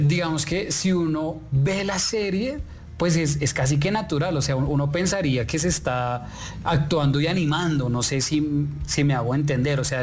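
A man's voice: a little high, a little mellow. A man interviewing a guest, asking long questions with hesitant restarts. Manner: hesitant, many fillers and restarts.

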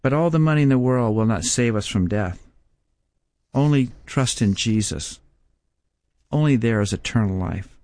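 Fifties guy bible teacher preaching, slow and emphatic, dry close sound. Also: mid-range voice, lively intonation, darkish tone, dry recording. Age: 50s. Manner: slow, emphatic, repetitive rhetorical questions. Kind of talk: sermon.

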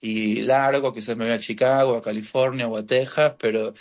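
A man's voice: mid-range voice, fairly even intonation, moderate pace. A man in his late thirties with a Buenos Aires accent, talking casually as a musician being interviewed, hesitant and rambling with trailing repetitions. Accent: Argentine (Buenos Aires). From Buenos Aires, Argentina. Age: late 30s. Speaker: musician guest, guitarist and singer-songwriter.

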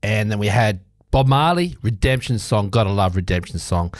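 A man's voice: lowish voice, lively intonation, moderate pace, no echo, clean studio sound. A man with an Australian accent hosting a community radio show, chatting loosely with lots of fillers and restarts. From South Australia. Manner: rambling, chatty, with many fillers. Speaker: radio host. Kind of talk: casual community radio chat.

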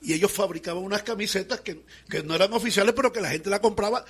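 High-pitched husky Caribbean Colombian man. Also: fast. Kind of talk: conversational reminiscing about football.

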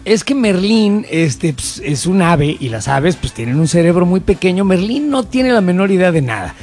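A man's voice: high voice, very animated, moderate pace, darkish tone, soft background music. A man with a Mexican accent co-hosting a casual chat, blunt, mocking and profane. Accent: Mexican Spanish. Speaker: co-host.

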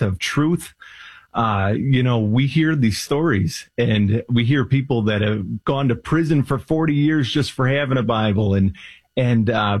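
A man appearing as a radio guest, giving steady, encouraging answers. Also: medium pitch, breathy edge, no echo. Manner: steady, encouraging, with many short affirmations. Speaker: guest from a ministry.

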